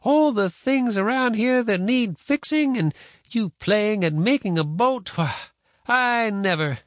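A man acts out a cantankerous old grandmother on the frontier in a storybook. The voice is pitched well up and very animated, grumbling and scolding in plain folksy country speech, recorded dry and close. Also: a little slow, no echo. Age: older. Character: cranky, stubborn old frontier grandmother with a cane.

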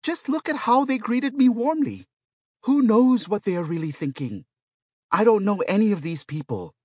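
A man's voice: medium pitch, normal speed, dry recording, studio quality, pitched above the performer's own voice, natural voice. A man voicing an anxious, indignant male hospital patient in an audiobook story, pleading and complaining. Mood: agitated protest.

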